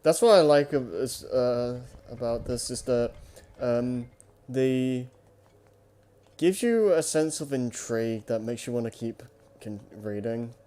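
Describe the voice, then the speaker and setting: Slow, mellow, halting man. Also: medium pitch. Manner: hesitant, halting, searching for words. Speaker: podcast host leading discussion. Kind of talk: casual chat.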